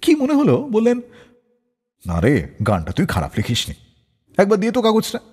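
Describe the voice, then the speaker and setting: Animated male radio storyteller, reverberant. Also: high-pitched, moderate pace, slightly rough. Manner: animated, acts out quoted dialogue. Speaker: radio show host. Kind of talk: dramatic radio storytelling between songs. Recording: long reverb on the voice.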